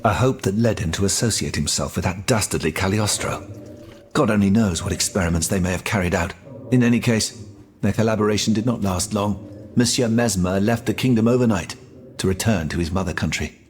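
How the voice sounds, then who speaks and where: An actor playing a haughty, dismissive scholar of old France in a video game, pompous and formal in delivery. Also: male voice, on the low side, fairly quick, natural voice. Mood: scornful. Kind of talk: video game cutscene dramatic acting.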